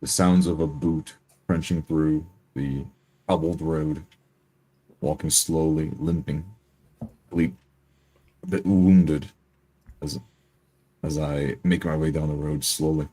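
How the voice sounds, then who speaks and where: A man playing a tabletop roleplay, describing his character's actions haltingly and deliberately. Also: extremely low pitch, little pitch movement, mellow, slightly rough.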